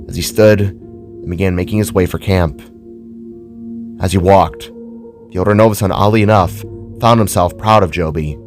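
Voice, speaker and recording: Low-pitched man narrating a story. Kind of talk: scripted dramatic story narration.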